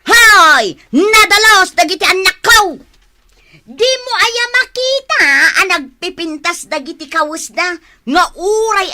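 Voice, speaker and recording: Touchy vain housewife in an ilocano radio comedy, super high piercing voice, bickers and taunts. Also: female voice, bright, forceful tone, exaggerated voice. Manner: indignant, taunting, exclaiming. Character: quarrelsome, vain, easily offended neighbourhood wife and mother. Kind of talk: Philippine radio comedy drama acting.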